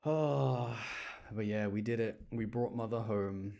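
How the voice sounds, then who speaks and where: A man's voice: lowish voice, slow, gravelly, warm tone. A man giving casual, exclamatory live commentary on a game and chatting with his audience. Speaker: streamer reacting to a game.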